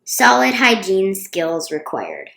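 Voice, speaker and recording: A woman's voice: medium pitch, huge pitch swings, slow, gravelly, fairly bright tone, full character voice. A woman voicing a bossy little storybook girl, announcing emphatically with stretched-out words. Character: bossy little girl posting job notices. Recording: roomy, echoey sound.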